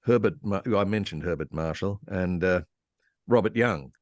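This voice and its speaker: A man with a low voice talks as a guest historian on a panel. He tells detailed anecdotes with keen interest, pausing and picking his way through them. The sound is close and dry. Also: a little mellow, no echo. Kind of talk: animated, anecdotal panel discussion. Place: online convention video call.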